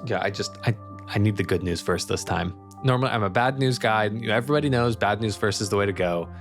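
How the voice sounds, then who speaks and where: A man playing a weary, annoyed colony engineer in a tabletop game, speaking in a blunt, halting, grudging way. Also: fairly low pitch, faint music behind, natural voice. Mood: wary. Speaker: non-player character in a tabletop game.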